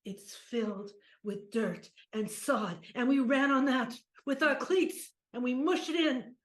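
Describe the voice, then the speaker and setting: A woman playing a cranky, sentimental aging ex-baseball pitcher with an aching back, rambling and muttering in an improvised monologue. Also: measured pace. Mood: nostalgic, weary. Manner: rambling, muttering, groaning, talks fondly to objects. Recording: echoey room.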